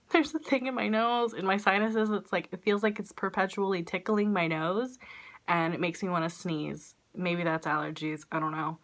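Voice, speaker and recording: A young woman in her twenties vlogging casually at home with a stuffy, congested nose, rambling with lots of fillers into a phone held at arm's length. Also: mid-range voice. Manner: rambling, self-deprecating, lots of "like" and "um". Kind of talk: casual chatty vlog. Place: small room indoors. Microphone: phone camera at arm's length.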